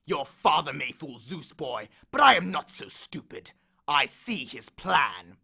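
Guy doing a ranting god of the dead, loud and high, gravelly bark, super animated. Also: measured pace, full character voice. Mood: menacing. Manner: bellowing, complaining, menacing. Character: angry male god of the dead, grand and aggrieved. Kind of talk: audiobook character voice.

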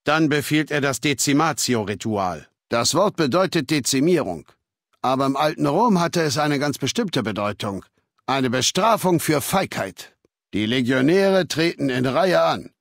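An actor playing a ruthless, learned middle-aged warlord in a video game, speaking in a measured, authoritative, lecturing way with cold arrogance. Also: male voice, medium pitch, expressive, a bit bright, dry recording, studio quality. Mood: cold, explanatory. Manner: measured, authoritative, lecturing, coldly matter-of-fact. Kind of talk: scripted video game dialogue in German.

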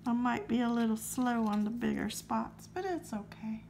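A woman vlogging as she scratches lottery tickets, her throat a little scratchy, calmly reading out numbers in a friendly way. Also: a little high, normal speed, vocal fry. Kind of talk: casual to-camera chat while scratching tickets.

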